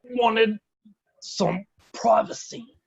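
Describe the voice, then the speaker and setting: A man acting out a nervous, evasive male captive in a drama script. The voice is very raspy and creaky, slow and halting, recorded close and dry. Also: sits high, no echo, lo-fi sound, full character voice. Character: nervous, frustrated male captive tinkering with ship controls.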